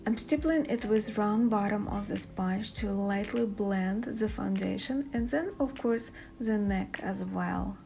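A woman's voice: medium pitch, a little slow, creaky, few pauses, dark tone. A young woman, a native Russian speaker with a Russian accent, presenting a makeup tutorial to viewers as a beauty vlogger. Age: young adult. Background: background music.

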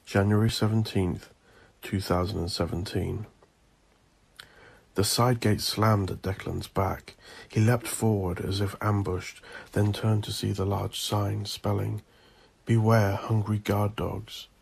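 A man narrating scripted horror fiction in an ominous, deliberate, theatrical way, with a gravelly, husky voice. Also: lowish voice, flat delivery, darkish tone.

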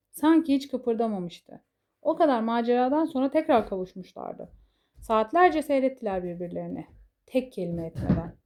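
A woman's voice: a little high, mellow. A woman reading a scripted fairy tale for children as a storyteller.

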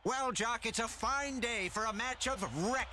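A man's voice: very high-pitched, steady tone, measured pace, music in the background. An actor playing a slick, falsely cheerful male sports commentator in a video game. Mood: slick hype. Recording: commentary over noisy arena ambience.

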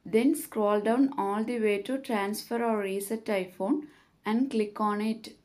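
A woman narrates a scripted tutorial with a medium-pitched voice. Her delivery is very slow and measured, setting out each instruction step by step. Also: fairly even intonation, darkish tone.

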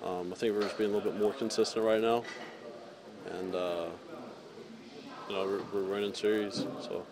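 Young pitcher amid a locker-room crowd. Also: male voice, level tone, moderate pace, pauses often. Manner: casual, filler-heavy answers. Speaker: baseball pitcher. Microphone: handheld reporter mics.

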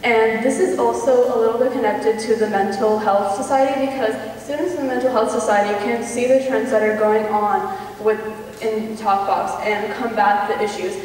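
High teenage girl's voice, echoey hall. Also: steady tone, normal speed, darkish tone. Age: teens. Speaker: student presenter. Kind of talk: rehearsed presentation. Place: large hall stage. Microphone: far camera. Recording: very echoey hall, distant, faint noise.

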